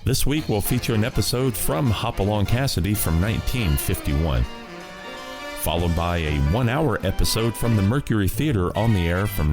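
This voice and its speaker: Low-voiced male podcast host over music. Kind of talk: scripted podcast introduction.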